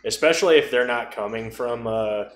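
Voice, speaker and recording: A man's voice: mid-range voice, moderate pace. A middle-aged man chatting casually about tabletop role-playing as a podcast co-host. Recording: roomy echo, faint background noise.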